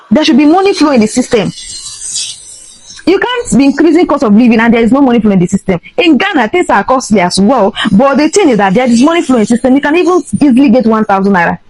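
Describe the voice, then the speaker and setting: Casting: Nigerian woman, rapid emphatic delivery, impassioned vlog rant mixing Pidgin and English, dry recording. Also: high voice, big pitch swings, darkish tone, dry recording, rough recording. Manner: emphatic, indignant, switching between English and Pidgin. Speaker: social media vlogger.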